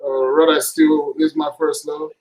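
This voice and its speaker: A middle-aged man, a guest actor chatting casually on a video call, relaxed and jokey. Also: a little high, unhurried, vocal fry, low-quality recording. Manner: relaxed, laughs often, jokey. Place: indoors, room with curtains. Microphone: webcam mic on video call.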